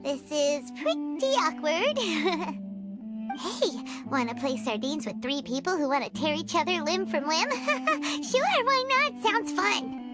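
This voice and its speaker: An extremely high, squeaky childlike fairy for a video game. The little companion is cheeky and exclamatory, takes an unhurried pace, and has clear music playing behind. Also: female voice, very animated, full character voice. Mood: awkward, dry. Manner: exclamatory, refers to self in third person.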